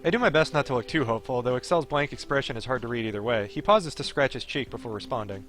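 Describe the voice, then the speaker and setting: A man's voice: mid-range voice, quick pace, fairly bright tone, soft background music. A man streaming a game, reading its narration aloud expressively and dropping into casual offhand commentary. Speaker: streamer playing a visual novel.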